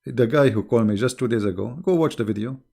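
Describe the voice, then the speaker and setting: A man, a native Arabic speaker, talking casually to his viewers as an online religious debater, with an animated, mocking and scornful manner. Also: medium pitch, quick pace, gravelly.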